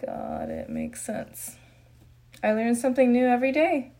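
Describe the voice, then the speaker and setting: A young woman from Japan hosting a relaxed live Q&A stream, answering viewer comments in a chatty way with lots of fillers. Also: fairly high pitch, a little slow, gravelly. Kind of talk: casual live Q&A chat, reading comments.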